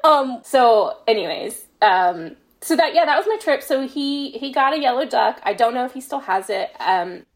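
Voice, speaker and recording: A woman's voice: high voice, lively intonation, normal speed, few pauses. A woman guest on a podcast, chatting casually in a self-deprecating way and often quoting exchanges as she tells stories. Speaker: podcast guest, fan fiction author. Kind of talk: casual conversational storytelling.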